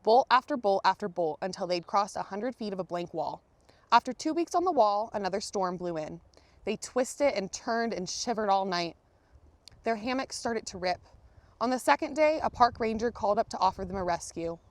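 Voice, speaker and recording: A woman reading a children's picture book aloud, telling the story expressively with dramatic emphasis and pauses. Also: medium pitch, animated, no echo. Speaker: storybook reader, museum manager.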